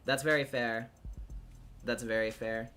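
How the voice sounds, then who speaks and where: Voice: medium pitch, steady tone, unhurried, fairly bright tone, clear, resonant tone, faint music behind. A livestreamer and voice actor with a male-range voice, chatting casually in a hesitant, thinking-aloud way.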